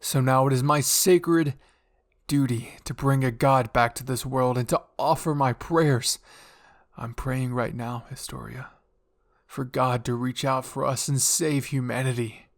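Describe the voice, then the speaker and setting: A man's voice: medium pitch, steady tone, measured pace, no echo, studio quality, close to an everyday voice. A man voicing a pushy, fanatical royal father with a grandiose, fervent delivery in dramatic fan fiction roleplay.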